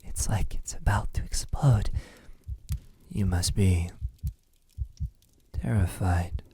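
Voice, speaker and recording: A man playing a possessive, lovesick vampire captor in an ASMR roleplay, speaking intimately in a husky voice that often drops into a whisper. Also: lowish voice, mellow, a little hiss or hum. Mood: teasing, condescending. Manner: slow, lingering, halting delivery.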